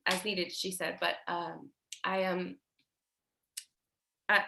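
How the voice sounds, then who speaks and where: A woman acting a nervous patient who speaks haltingly and stammers in a staged play reading. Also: lowish voice, little pitch movement, creaky, noisy background. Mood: nervous, hesitant. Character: nervous patient leaving a halting phone message. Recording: roomy, echoey sound.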